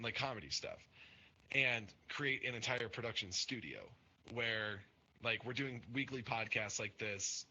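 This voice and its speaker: A man's voice: medium pitch, sharp tone, lo-fi sound. A young man hosting a casual podcast, talking earnestly and rambling with many fillers and restarts. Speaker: podcast host and Twitch streamer.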